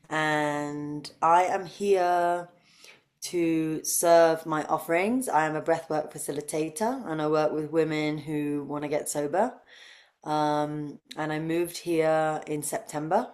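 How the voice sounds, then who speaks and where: A woman's voice: deep voice, little pitch movement, takes it slowly, darkish tone. A woman in her thirties with an English accent, giving conversational answers as an interview guest. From UK, grew up near London. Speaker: interview guest, breathwork facilitator.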